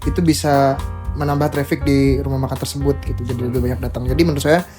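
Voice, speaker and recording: A man in his twenties, a podcast guest, explaining his views in a measured, casual way with examples. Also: medium pitch, level tone. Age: 20s. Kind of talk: casual chat. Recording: music playing behind.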